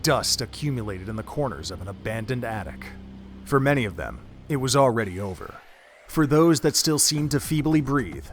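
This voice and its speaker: A man narrating a fan audio drama in a steady, measured storytelling manner. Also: mid-range voice.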